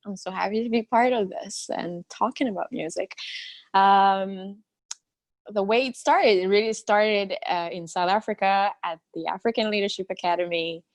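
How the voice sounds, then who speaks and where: Young woman from Madagascar, slow and soft, dry close sound, on a video call. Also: medium pitch, dry recording. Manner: soft, hesitant, laughs between thoughts. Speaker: singer-songwriter panelist.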